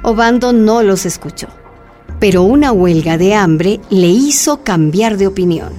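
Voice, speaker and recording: A woman's voice: medium pitch, lively intonation, a little slow, mellow, natural voice. A woman performing scripted narration for a radio drama, with music behind her. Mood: measured, narrating. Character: woman narrator telling a miner woman's history. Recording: music under the voice.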